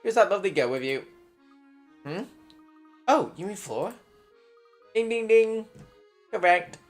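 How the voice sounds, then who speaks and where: A man's voice: high voice, expressive, unhurried, faint music behind, pitched above the performer's own voice. A man voicing a cheerful, overly friendly young man in a bouncy, breezy way. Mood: chummy.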